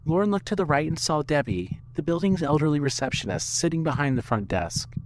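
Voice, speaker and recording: A man narrating a scripted audio drama as its storyteller, reading in a measured way. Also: on the high side, animated, a little hiss or hum. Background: music.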